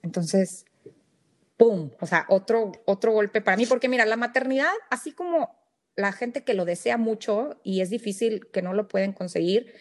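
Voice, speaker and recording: A woman's voice: mid-range voice, moderate pace. Candid woman from northern Mexico. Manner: candid, earnest, with fillers and restarts. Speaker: podcast guest. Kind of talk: personal storytelling in interview.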